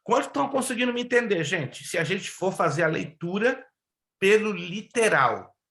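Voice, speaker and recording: A man's voice: high-pitched, animated, moderate pace, fairly bright tone, echoey room. A man, a pastor raised in Brazil with Hebrew and Spanish as first languages, teaching a Bible class and often drawing out key words and asking the class questions. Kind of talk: online Bible class lecture.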